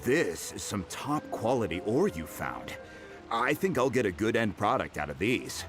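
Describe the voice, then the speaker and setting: An actor playing an easygoing, modest master blacksmith in a video game, speaking in a casual, expressive way. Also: male voice, fairly low pitch, normal speed. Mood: reassuring. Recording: clear music under the voice.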